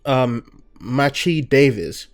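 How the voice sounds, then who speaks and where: A young Nigerian man with a Nigerian accent hosts a casual viewer Q&A. His voice sits at a middling pitch, and he talks in a loose, relaxed way, dropping in fillers and "you know" as he goes. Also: slow. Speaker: gaming channel host.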